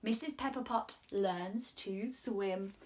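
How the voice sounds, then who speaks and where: A British woman in her twenties hosting children's story time, speaking in an animated, sing-song way with exaggerated expression. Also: fairly high pitch, extremely slow. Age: 20s. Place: small room at home, indoors.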